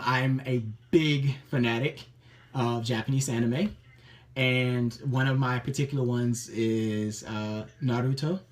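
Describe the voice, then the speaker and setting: A man with a mid-range voice, neither high nor low, telling the stories behind his tattoos. He talks in a chatty, upbeat way and often stops on an um or trips over his sentences as he goes. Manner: chatty and upbeat, many ums and self-restarts. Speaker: interviewee showing his tattoos. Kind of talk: casual personal account to camera.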